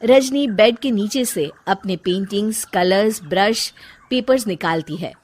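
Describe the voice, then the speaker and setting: A woman narrating a scripted story for an animated moral tale. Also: mid-range voice, a little slow.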